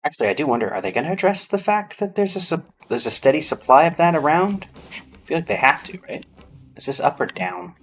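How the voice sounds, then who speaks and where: A man's voice: on the high side, lively intonation, brisk, a little hiss or hum. A man giving informal gameplay commentary, musing aloud to himself as he plays. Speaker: game streamer. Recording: game music behind the voice.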